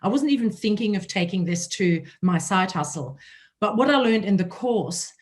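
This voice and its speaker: Raspy-voiced woman with a German accent. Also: medium pitch, expressive, normal speed. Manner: conversational, telling her own story. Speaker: host. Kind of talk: hosting a panel talk.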